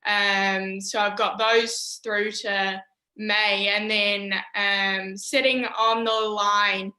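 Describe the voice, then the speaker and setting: A young New woman speaks over a video call from a room that gives her voice a roomy echo. Her tone is bright and edged, but her pitch stays flat and even. She talks in a relaxed, easygoing way, pausing on "um". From New Zealand. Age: young adult. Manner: relaxed, many "um" pauses. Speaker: university golfer, guest. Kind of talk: casual interview answers.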